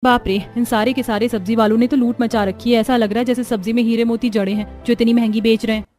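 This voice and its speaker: A woman voicing a sharp-tongued, thrifty young village wife in a cartoon story, indignant and scolding. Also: on the high side, steady tone, quick pace, lightly acted. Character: feisty, thrifty poor village daughters-in-law, sharp-tongued women. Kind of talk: Hindi cartoon story acting. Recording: music behind the voice.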